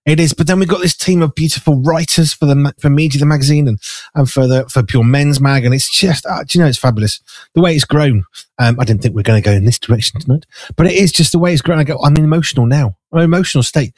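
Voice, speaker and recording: A man with a raspy, gravelly, hoarse voice, an animated radio host chatting effusively with many restarts. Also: a little high, fast talker, dry recording. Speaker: radio host and magazine editor. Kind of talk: casual conversation. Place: farmhouse.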